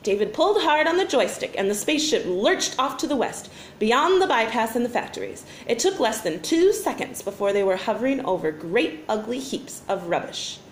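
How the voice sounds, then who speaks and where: A woman teacher reading a children's story aloud in an expressive, dramatic way. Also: on the high side, normal speed. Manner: animated, dramatic, acts out sound effects. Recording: roomy echo, faint background noise.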